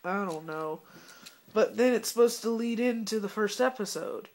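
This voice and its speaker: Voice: medium pitch, normal speed. A young fan who is sick and coughing, giving a rambling, disappointed casual review full of fillers.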